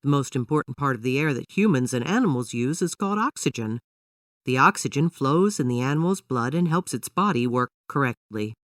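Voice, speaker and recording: A woman's voice: extremely low pitch, expressive, fairly quick, dry recording, clean studio sound, distant mic. A woman voicing a patient father who explains things to his young daughter in a children's storybook, in an instructive manner. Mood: explaining.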